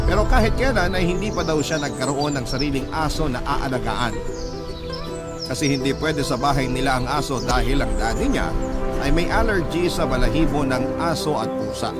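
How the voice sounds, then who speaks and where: A man with a Filipino accent narrating a first-person story in a scripted, dramatised radio style. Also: medium pitch. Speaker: narrator reading a letter sender's love story. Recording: music bed behind the voice. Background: music.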